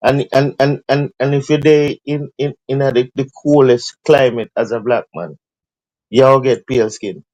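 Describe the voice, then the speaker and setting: A man's voice: medium pitch, fairly even intonation, moderate pace, darkish tone, dry recording, rough recording. A man with a Jamaican accent on a live panel discussion, building his point step by step.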